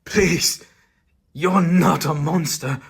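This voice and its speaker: A man acts out a young hunter in a gothic audio drama, soft-hearted and grieving. His voice is very hoarse and creaky, and he pleads slowly, with strain in every halting phrase. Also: fairly high pitch, steady tone, no room sound, heavily acted. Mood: desperate, pleading. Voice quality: husky, creaky.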